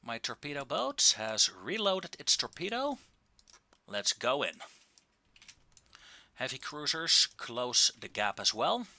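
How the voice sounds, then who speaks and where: A man giving casual, wry and understated commentary on a video game, with drawn-out pauses, into a close microphone. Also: medium pitch, big pitch swings, sharp tone.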